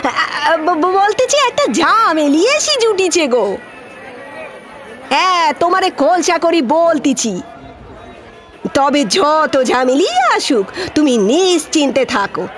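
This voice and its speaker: A very high-pitched older village housemaid played by a woman in an audio drama. She is nosy and bossy, with a rural West Bengali accent. Her exclamations swing widely up and down in a folksy, chatty way. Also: animated, slow pace, a bit bright, some chatter behind, full character voice. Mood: scheming, boastful.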